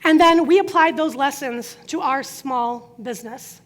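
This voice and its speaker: A middle-aged woman whose first language is Croatian gives a prepared inspirational talk, telling her story earnestly with emphatic pauses. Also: high-pitched. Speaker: speaker.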